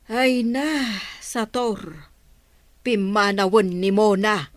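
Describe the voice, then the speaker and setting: A woman playing an excitable, wailing mother in an Ilocano radio drama, exclaiming melodramatically. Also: mid-range voice, extremely slow, no echo. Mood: distressed.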